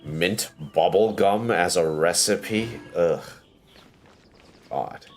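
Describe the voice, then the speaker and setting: Slow, low-voiced male game streamer. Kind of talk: casual livestream commentary. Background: game music.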